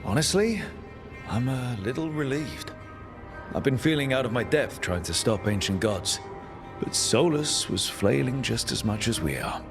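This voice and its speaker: A man's voice: medium pitch, expressive, measured pace, over music. A man playing a level-headed team leader in a fantasy game, wry and plain-spoken. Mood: candid, relieved. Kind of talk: naturalistic game dialogue.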